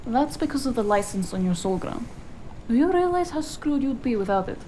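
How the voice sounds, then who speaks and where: A woman playing a bossy, sharp-witted cyberpunk fixer in a noir audio drama, sardonic and scolding. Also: on the high side, very animated, a little fast, a little hiss or hum. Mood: exasperated, smug. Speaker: voice actor.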